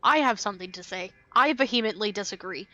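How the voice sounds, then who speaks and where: A woman's voice: mid-range voice, lively intonation, fast, bright, forceful tone. A woman livestreaming a game with casual commentary, reading dialogue aloud and muttering to herself. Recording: faint game music behind the voice. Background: game music.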